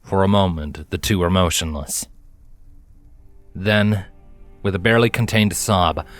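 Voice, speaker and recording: A man reading a story as dramatic scripted narration, in a measured, solemn manner. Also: deep voice, no echo. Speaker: fan fiction reader, narrator. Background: background music.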